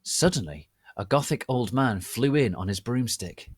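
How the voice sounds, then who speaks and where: A man giving a theatrical, deliberate dramatic reading of a story as its narrator. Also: mid-range voice, lively intonation, husky, no echo, studio quality. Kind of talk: dramatic reading of fan fiction.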